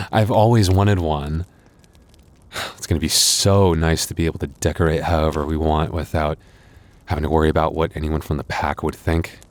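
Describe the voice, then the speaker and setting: A man playing a gentle, anxious young werewolf boyfriend in an intimate audio roleplay, speaking softly and haltingly. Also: rumbling low, steady tone. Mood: happy, bashful. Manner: intimate, hesitant, soft-hearted, halting.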